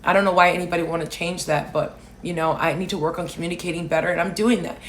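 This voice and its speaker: A woman from Alaska, raised in Hawaii, hosting political commentary, talking loudly and emphatically and answering viewer comments. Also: fairly low pitch, fairly even intonation, fast talker, a little hiss or hum. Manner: loud, emphatic, rising to near shouting on key points.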